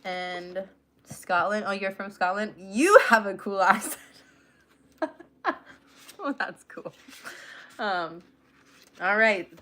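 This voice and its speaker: A woman's voice: medium pitch, takes it slowly, pauses often, sharp tone. A young woman hosting a casual livestream, reading aloud and chatting affectionately with her viewers. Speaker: livestream host.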